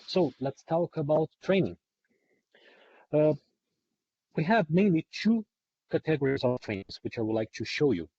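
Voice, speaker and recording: A man's voice: on the high side, expressive, normal speed, long pauses, dark tone, breathy edge. A man who is a non-native English speaker presents a training webinar, repeating and rephrasing points for emphasis. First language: not English. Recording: dull lo-fi online webinar audio.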